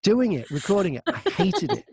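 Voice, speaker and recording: Male interview guest, high-pitched, chatty storyteller, very dry close sound. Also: wildly swooping pitch, moderate pace, darkish tone, breathy edge, dry recording. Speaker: audiobook narrator and voice-over artist, interview guest. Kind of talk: casual interview anecdotes. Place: inside a wardrobe used as a home booth.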